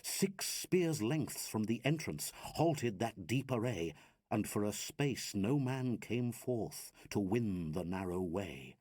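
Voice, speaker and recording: A man performs narrative verse with theatrical, declamatory weight. His husky voice moves at a very measured pace and swings widely in pitch. The sound is close and dry. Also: lively intonation, darkish tone, no room sound. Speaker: reader of narrative poetry. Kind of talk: dramatic recited narrative poem.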